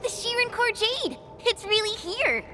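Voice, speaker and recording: A woman playing an excitable, curious and eager young female squad member in a video game. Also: very high-pitched, a little slow. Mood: excited. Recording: loud game music behind the voice. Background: loud game soundtrack.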